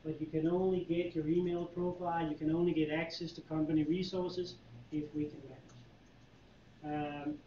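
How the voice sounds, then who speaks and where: Danish guy giving a talk, fairly high voice, roomy echo, mic kinda far off. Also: moderate pace, slight background noise. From Denmark. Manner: relaxed, chatty, many fillers and restarts, laughs with the audience. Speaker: conference presenter, consultant. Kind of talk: user group tech presentation. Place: meeting room. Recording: echoey room, distant mic, dull lo-fi sound.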